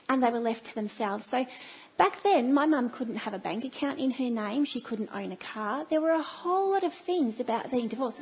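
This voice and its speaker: Middle-aged Australian woman, preacher; high, husky voice, storytelling with playful asides. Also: a little mellow. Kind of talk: sermon.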